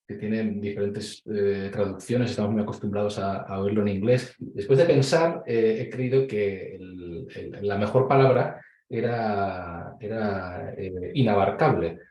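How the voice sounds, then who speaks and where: A man with a Spain Spanish accent giving an online conference presentation, measured and explanatory, with frequent hesitations over a video call. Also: on the low side, mellow. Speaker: conference speaker. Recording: echoey room.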